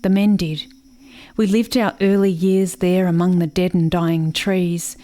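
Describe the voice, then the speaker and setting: A woman's voice: fairly low pitch, fairly even intonation, unhurried, dark tone, natural voice. A woman playing a dreamy, haunted settler girl in a radio play, speaking in an incantatory, repetitive monologue. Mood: dreamy, wistful. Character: haunted, dreamy settler girl mourning her lost brother.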